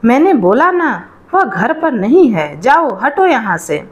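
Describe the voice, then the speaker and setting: A woman's voice: high voice, big pitch swings, unhurried. A woman voicing a brusque servant guarding a household in a storybook tale, curt and bossy. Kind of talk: storybook dialogue.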